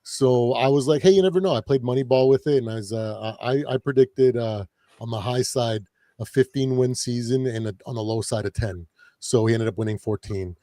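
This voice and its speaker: Hoarse man in a rambling chat. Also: medium pitch, brisk, warm tone, no room sound, studio quality. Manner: rambling, lots of fillers and self-corrections. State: raspy voice from partying. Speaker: guest, fan channel creator. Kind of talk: casual podcast chat.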